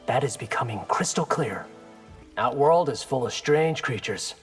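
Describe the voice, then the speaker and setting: An actor playing a brash, defiant young ninja in a video game, cocky and sardonic. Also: male voice, medium pitch, expressive, a little slow, soft background music, close to an everyday voice. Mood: questioning.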